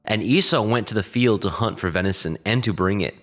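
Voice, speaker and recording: A man narrating scripture in a solemn, formal and measured reading style. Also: fairly low pitch, fairly bright tone. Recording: music under the voice.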